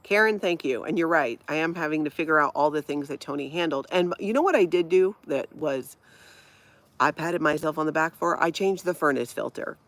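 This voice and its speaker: Livestream host: middle-aged woman, light Minnesota accent, some vocal fry, casual and chatty. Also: low-pitched, fairly quick, no room sound. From Hawaii. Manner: chatty, laughs often, reacts to viewer comments. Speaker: livestream host reading chat. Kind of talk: casual live-chat talk.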